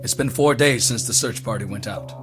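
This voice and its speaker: An actor playing a deferential, cautious army second lieutenant in a radio drama. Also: male voice, mid-range voice, brisk, music in the background, lightly acted. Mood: deferential, doubtful.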